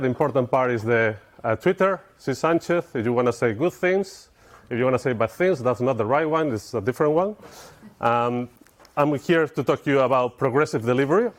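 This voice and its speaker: A middle-aged man with a Spanish accent, giving an informal technical talk to an audience in a conference room. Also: medium pitch. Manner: informal, explanatory, addresses audience with questions. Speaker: conference speaker.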